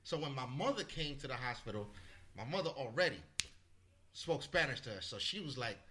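A man from the Bronx telling a story as a podcast guest, animated, swearing freely and acting out what people said. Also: medium pitch, a little fast, slight background noise. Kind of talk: casual storytelling.

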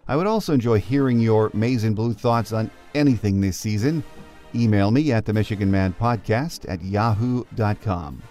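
A man with a fairly low voice and an American accent hosts a sports podcast. He moves between scripted narration and interview questions. Music plays behind him. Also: moderate pace, warm tone.

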